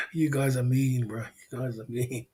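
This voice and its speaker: A man's voice: medium pitch, a little mellow. A man, casually hosting a livestream, rambling and laughing often as he answers viewers. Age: 50s. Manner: rambling, laughs often, repeats filler phrases. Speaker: livestream host. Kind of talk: casual livestream chat reading viewer comments.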